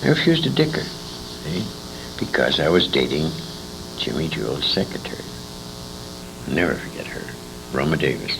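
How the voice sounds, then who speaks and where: An older man reminisces in a salty, rambling, emphatic way. His voice is creaky and swings very widely in pitch. It is a casual interview on a clearly noisy amateur recording. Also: wildly swooping pitch, normal speed, long pauses. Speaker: interviewee, old-time radio veteran.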